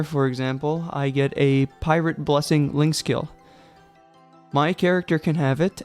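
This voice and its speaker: A man's voice: fairly high pitch, a little mellow. A young man narrating a casual game tutorial, chatty and joking, with loose fillers, a little sick. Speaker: gaming guide creator.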